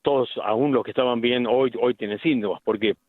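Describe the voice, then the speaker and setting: A middle-aged man with an Argentine accent, a medical specialist explaining things deliberately in a radio interview, with frequent restarts. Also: medium pitch, no echo. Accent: Argentine Spanish. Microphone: phone line. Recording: tinny phone line, dry.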